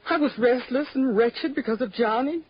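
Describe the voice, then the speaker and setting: A woman's voice: high-pitched, moderate pace, slightly rough. An actress playing a secretive, wistful married woman in 1940s radio drama, earnest and melodramatic. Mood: wistful, confessional. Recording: dull vintage radio recording.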